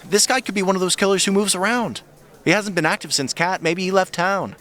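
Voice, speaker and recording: A man's voice: high-pitched, a little fast, fairly bright tone. A man playing a skeptical, candid friend of a detective, emphatic and sardonic, in a naturalistic audio drama. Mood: matter-of-fact.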